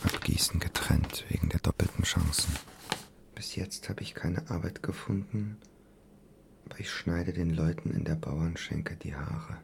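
An actor playing a brooding young man who murmurs over his mother's letters in halting, half-whispered fragments in a German radio play. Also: deep voice, steady tone, creaky. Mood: muted, wry.

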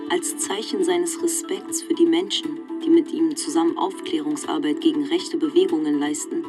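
A woman's voice: sits low, fairly even intonation, moderate pace, music in the background, natural voice. A woman reading scripted, documentary-style radio-play narration in a factual, report-like manner.

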